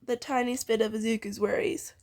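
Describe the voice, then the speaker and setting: A young woman with a high voice reads fan fiction aloud. She keeps it casual and giggly, with a teasing edge, like a relaxed read between friends. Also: a little fast.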